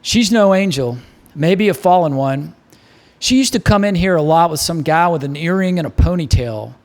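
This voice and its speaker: A man's voice: fairly high pitch, lively intonation, normal speed, voice pushed up. A man voicing a breezy, bantering bartender, an old pal full of wisecracks, in an audiobook. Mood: teasing. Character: ruddy Irish-American male bartender, bantering old pal.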